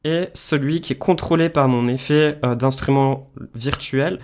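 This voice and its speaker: A man's voice: medium pitch, a little slow. A man presenting a music production tutorial, explaining things informally, step by step. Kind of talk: casual screen-share software tutorial.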